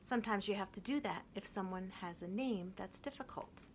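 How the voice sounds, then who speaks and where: Gentle, expressive woman reading a picture book. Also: mid-range voice, brisk, slight background noise. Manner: gentle, expressive, clear storytelling. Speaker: children's story reader.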